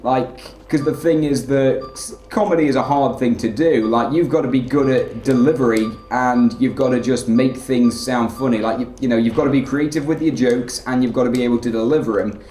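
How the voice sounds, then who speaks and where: A man giving rambling, filler-heavy let's play commentary in a flat, monotone way. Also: medium pitch, brisk, echoey room. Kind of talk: casual gameplay commentary.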